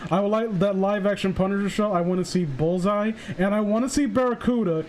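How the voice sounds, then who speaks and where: A man hosting a casual livestream chat, rambling excitedly and swearing freely like an enthusiastic fan. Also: high-pitched, a little fast, a little hiss or hum. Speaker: livestream host. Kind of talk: casual chat about comic book characters.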